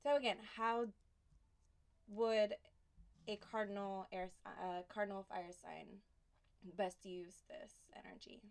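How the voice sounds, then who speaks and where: A woman from Houston giving a casual tarot reading, soft and unhurried, pausing often, a little sniffly from allergies. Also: mid-range voice, fairly even intonation. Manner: soft, unhurried, reflective delivery with pauses. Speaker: tarot reader.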